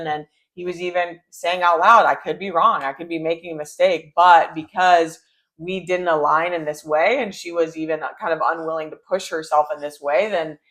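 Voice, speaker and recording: A woman with a low voice for a woman, co-hosting a casual chat and talking freely with many fillers. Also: fairly bright tone. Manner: chatty, frequent "like" and "you know". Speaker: co-host.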